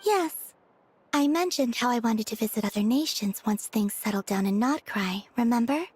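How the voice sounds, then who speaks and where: A woman voicing a serene, naive young maiden in a video game, gentle and guileless. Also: on the high side, animated, moderate pace, slight background noise, close to an everyday voice. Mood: calm.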